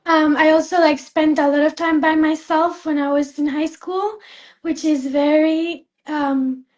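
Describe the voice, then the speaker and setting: High-voiced young woman from Spain. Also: fairly even intonation, unhurried, lo-fi sound. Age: young adult. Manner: soft-spoken, many fillers and restarts. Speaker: guest, fashion student. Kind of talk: casual interview chat.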